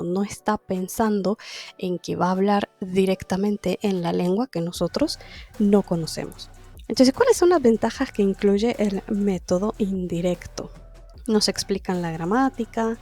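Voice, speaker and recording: A woman explains a language-learning lesson in a relaxed, conversational teaching manner, often posing a question and answering it herself. Her voice is warm and mellow, and faint music sits underneath. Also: medium pitch.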